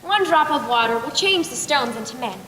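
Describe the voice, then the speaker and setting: A woman's voice: high voice, animated, brisk. A young teenage girl playing a wise, proud talking bird in a school stage play, with declamatory delivery. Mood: commanding. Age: young teen. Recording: echoey hall from far off, loud noise.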